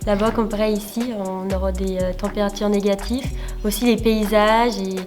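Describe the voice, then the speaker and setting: A young woman from France presenting a student project, speaking in a measured, slightly hesitant way. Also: mid-range voice, steady tone. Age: young adult. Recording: faint music behind.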